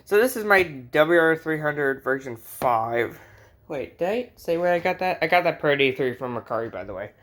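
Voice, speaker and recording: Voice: medium pitch, expressive, normal speed, a bit bright. A vlogger with an American accent chatting casually and unscripted in a bedroom, jokey, rambling and easily sidetracked while showing off a collection. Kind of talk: casual unscripted vlog chat. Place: indoors in a bedroom.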